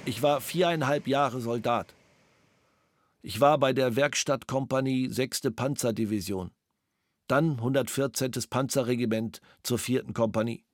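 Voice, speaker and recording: An actor reading the witness statement of a former soldier and gunsmith, sober and matter-of-fact. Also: male voice, medium pitch, a little slow, no room sound, close to an everyday voice. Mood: flat, factual. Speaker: reader of interrogation protocols.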